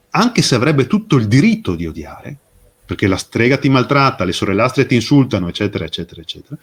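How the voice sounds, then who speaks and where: A man speaking as an interview guest, explaining with passion and emphasis, posing rhetorical questions and pausing for effect. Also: on the low side, breathy edge. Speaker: interview guest, fairy tale expert. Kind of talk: impassioned explanatory interview talk.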